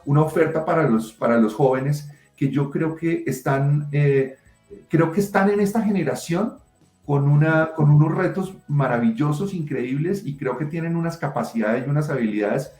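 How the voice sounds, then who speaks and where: A middle-aged writer answering interview questions passionately, with many restarts. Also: male voice, a little high, moderate pace, darkish tone, faint music behind. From Colombia. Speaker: writer and guest. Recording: roomy echo.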